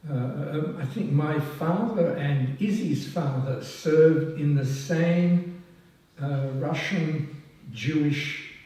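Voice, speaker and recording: An older man with a slightly high voice gives a slow, halting speech full of ums and restarts. He sounds a little distant from the mic. The hall around him is large and very echoey. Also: dark tone. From France. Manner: halting and self-deprecating, many ums and restarts. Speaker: past president honoured at a society oration. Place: large hall at a public event. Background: audience laughing.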